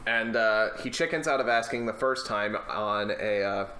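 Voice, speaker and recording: A man's voice: on the low side, normal speed, pushed and bright. A man bantering casually on a podcast, telling stories emphatically and with some swearing. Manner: profane, emphatic storytelling. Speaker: podcast co-host discussing films. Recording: roomy, echoey room.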